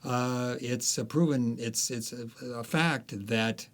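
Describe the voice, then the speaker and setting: A male author, interviewed as a guest, recounting history and his book's plot in a storytelling way, with frequent pauses and fillers. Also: mid-range voice.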